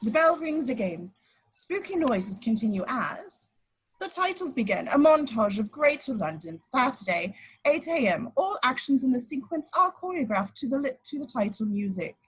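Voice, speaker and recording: Female narrator for stage directions: slightly high, husky, very animated, amateur table-read feel. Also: normal speed, poor audio. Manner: stumbles over words and corrects herself.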